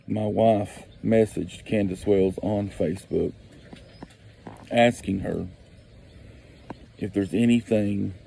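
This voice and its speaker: Slow gravelly man. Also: fairly low pitch, dark tone, a little hiss or hum. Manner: slow and deliberate, repeats for emphasis. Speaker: local neighbor telling a story. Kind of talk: storytelling on a podcast clip.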